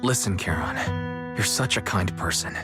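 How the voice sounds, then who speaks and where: A man playing a kind, caring friend in a video game, speaking in a gentle, consoling way. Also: sits low, expressive, moderate pace. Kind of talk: English video game voice acting. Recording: loud music over the voice.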